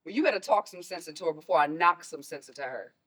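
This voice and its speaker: A woman's voice: low-pitched, fast, slightly rough. A woman playing a stern, protective mother in a stage play, scolding and pressing with pointed questions. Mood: teasing, firm. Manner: forceful, scolding, rhetorical questions.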